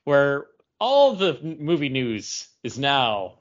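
A man chatting casually as a podcast co-host in a hesitant, halting way. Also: mid-range voice, sing-song, highs cut off. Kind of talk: casual chat.

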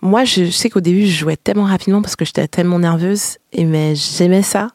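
A woman's voice: lowish voice, a little fast, a little mellow, dry recording, studio quality. A Québécois French woman in her thirties, a singer-songwriter casually recounting memories, chatty and laughing often. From Quebec, Canada.

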